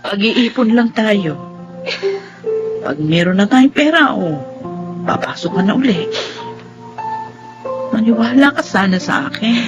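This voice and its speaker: A woman's voice: medium pitch, lively intonation, a little slow, pauses often, natural voice. A woman acting an ailing, loving middle-aged mother in a Filipino radio drama, speaking in a tender, apologetic, emotive way. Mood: gentle, apologetic. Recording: muffled lo-fi audio, music underneath.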